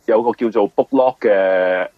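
Low, husky Hong Kong man, dull audio. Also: measured pace. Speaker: mystery novelist, interview guest. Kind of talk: casual interview answers. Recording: dull lo-fi radio interview audio.

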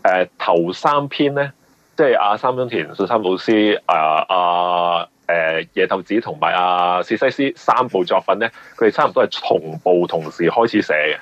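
A man with a Hong Kong Cantonese accent giving conversational interview answers, explaining things haltingly and often repeating words. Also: deep voice, fairly bright tone, no echo. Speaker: guest, mystery novelist. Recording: muffled, band-limited radio interview audio.

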